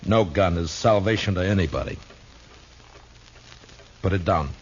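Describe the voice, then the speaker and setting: An American actor in a 1950s radio western playing a tough, plain-spoken lawman with a calm, laconic, firm manner. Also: sits low, a little slow. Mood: commanding. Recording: dull, noisy 1950s radio recording.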